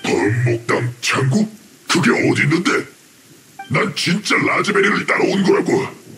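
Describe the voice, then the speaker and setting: A man's voice: a little high, sing-song, measured pace, loud music behind the voice. An actor playing a hapless, flustered henchman in an anime-style game, whiny, defensive and protesting.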